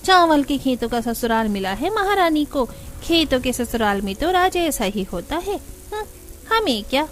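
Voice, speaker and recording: A woman's voice: high-pitched, big pitch swings, moderate pace. A woman voicing a gossipy, sneering village auntie in a cartoon.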